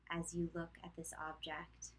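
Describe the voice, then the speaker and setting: A woman teaching yoga nidra, leading a guided meditation in a calm, gentle manner with long pauses between short cues. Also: fairly low pitch, husky, low-quality recording.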